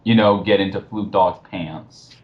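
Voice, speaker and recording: A dull, lo-fi voice chat recording of a man with a fairly low voice. He gives casual, scornful commentary with friends and swears freely. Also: fairly even intonation, unhurried, noisy background. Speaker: commentary co-host.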